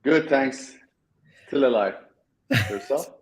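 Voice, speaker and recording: A middle-aged man with a Turkish accent, a guest in an interview, talking in a halting way with many restarts. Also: medium pitch. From Turkey. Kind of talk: casual interview talk.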